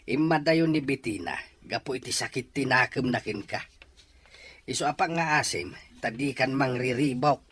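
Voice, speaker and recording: A man's voice: mid-range voice, moderate pace, a bit bright, slight background noise, lightly acted. A man with a Filipino accent playing an earnest, middle-aged widowed father in an Ilocano radio-style drama. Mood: stern. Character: worried widowed father, stern and plain-spoken. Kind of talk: Ilocano audio drama acting.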